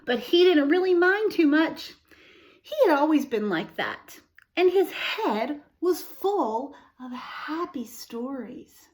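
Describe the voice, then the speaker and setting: Slow, very animated woman reading to children. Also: sits high. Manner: expressive, asks the young listeners questions. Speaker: storytime host reading to children. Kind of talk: read-aloud picture-book storytelling with explanations for young children.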